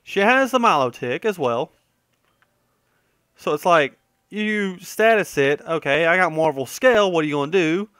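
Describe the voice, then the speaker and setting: A man's voice: fairly high pitch, lively intonation, moderate pace, fairly bright tone, smooth, no room sound, clean studio sound. A man giving casual, rambling gaming commentary, conversational and opinionated, often trailing off and correcting himself. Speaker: gaming video host.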